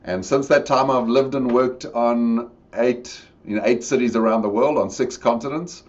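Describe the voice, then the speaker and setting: A man's voice: mid-range voice, fairly even intonation, moderate pace. A middle-aged man with a South African accent, speaking as a podcast guest in a reflective, expansive way. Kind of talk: conversational interview. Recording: dull lo-fi audio.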